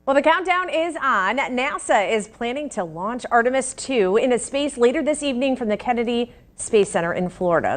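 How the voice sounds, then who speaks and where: An American woman presenting news and weather as a television anchor. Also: fairly high pitch.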